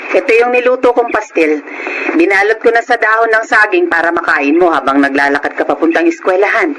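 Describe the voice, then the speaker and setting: A woman's voice: lowish voice, fairly quick, fairly bright tone, a little hiss or hum, close to an everyday voice, poor audio. A woman playing a caring, protective rural mother in a radio drama, with a Filipino accent. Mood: tender, concerned.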